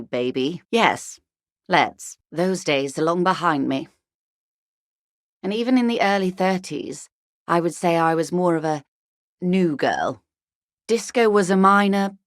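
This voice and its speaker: A woman's voice: fairly low pitch, no room sound, clean studio sound. An actress playing a wealthy, worldly older woman in a video game, articulate, arch and wryly condescending. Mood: wistful, amused. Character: wealthy, worldly older woman, urbane, wry, intellectually vain. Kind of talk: video game character dialogue.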